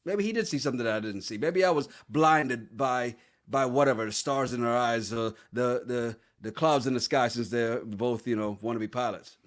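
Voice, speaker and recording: A man's voice: medium pitch, normal speed. A man co-hosting a casual podcast, laughing often and acting out quotes in his reality-show recap chat. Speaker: podcast co-host.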